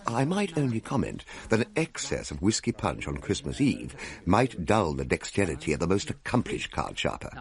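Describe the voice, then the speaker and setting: An actor playing a jovial, wordy Victorian gentleman narrating a radio drama, with an English accent and a pompous relish. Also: sits low, wildly swooping pitch, normal speed, vocal fry, full character voice. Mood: wry relish. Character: jovial, wordy Victorian gentleman recounting a merry Christmas party. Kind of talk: dramatised first-person storytelling.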